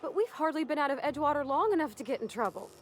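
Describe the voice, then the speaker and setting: Eager, high-voiced young folksy woman mechanic. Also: expressive, very fast, slight background noise. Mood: worried. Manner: folksy, rambling, earnest. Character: eager, folksy young female spaceship mechanic, awed newcomer. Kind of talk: video game character acting.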